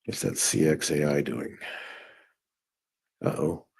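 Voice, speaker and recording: A deep, husky male voice commenting live on stock charts and trades. He is hoarse, as if losing his voice, and talks things through out loud while clicking around his screen. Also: slow. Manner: thinking aloud while working on screen. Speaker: trading show host.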